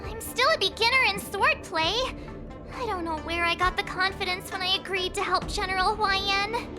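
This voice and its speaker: An anxious, chatty young swordswoman frets in a very high, excitable voice. Game music plays behind her. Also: moderate pace. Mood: nervous. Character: nervous cheerful girl, beginner swordswoman. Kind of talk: game cutscene voice acting.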